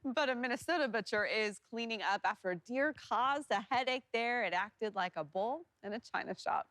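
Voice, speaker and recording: A woman's voice: a little high, animated, normal speed, a bit bright, no room sound. A woman presenting weather on TV news, chatting in casual newsroom banter.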